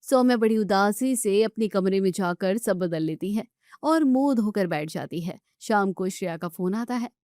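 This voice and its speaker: A woman's voice: medium pitch, expressive, moderate pace, a little mellow, no echo, clean studio sound. A woman storyteller reading scripted narration of a family tale.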